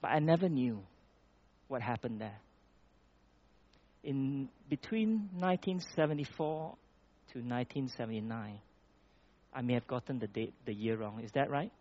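A man's voice: mid-range voice, lively intonation, normal speed, pauses often, lo-fi sound. A man from Malaysia preaching a sermon, reading scripture with rising emphasis and then talking plainly with small restarts.

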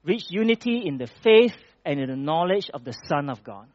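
A man's voice: a little high, big pitch swings, fairly quick, a little mellow, dry recording, muffled recording. A man from Malaysia preaching a sermon, reading scripture with rising emphasis and then talking plainly with small restarts.

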